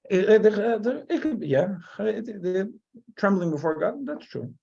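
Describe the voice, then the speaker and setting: A man's voice: high voice, big pitch swings, normal speed, gravelly, dark tone, breathy edge. An American man from New Jersey, a rabbi teaching a Torah class. He halts often, restarting and repeating words as he thinks aloud. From New Jersey, USA. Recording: dull, lo-fi sound.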